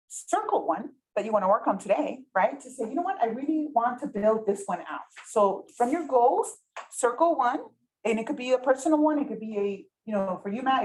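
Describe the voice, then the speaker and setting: Lively chatty woman leading a workshop. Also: fairly high pitch, low-quality recording. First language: Spanish. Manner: chatty, often asks "right?" to check in. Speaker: workshop facilitator. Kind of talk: interactive workshop teaching. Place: meeting room, with some people online.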